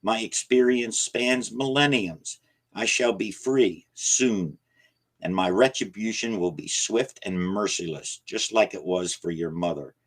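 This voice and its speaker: A malicious, ancient shadow spirit that mocks its foe with slow, gloating menace. Its voice sits low and unhurried, as a man gives it voice.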